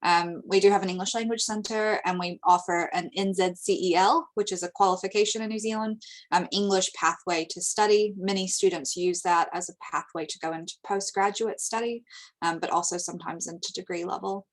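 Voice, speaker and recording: A woman's voice: lowish voice, brisk. American woman presenting, lots of ums. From United States. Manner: frequent ums, steady informative delivery. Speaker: international recruitment presenter. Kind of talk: webinar presentation over slides.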